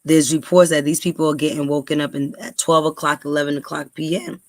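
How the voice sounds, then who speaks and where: A woman's voice: deep voice, little pitch movement, fairly quick, dry recording, clean studio sound. Earnest New woman vlogger. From New York, USA. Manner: earnest, many ums and repeats. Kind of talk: casual talk to camera, then reading aloud.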